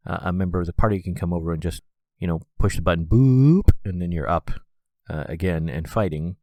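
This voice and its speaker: A man's voice: low-pitched, a little mellow, no room sound. A man with a congested, stuffy nose hosting a casual solo podcast, rambling with plenty of "uh" and "you know". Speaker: podcast host.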